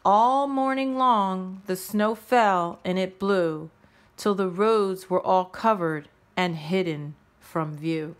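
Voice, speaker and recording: A woman reading a rhyming children's story aloud in a measured, expressive storytelling manner. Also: mid-range voice. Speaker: storybook reader. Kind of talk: children's read-aloud narration in rhyme.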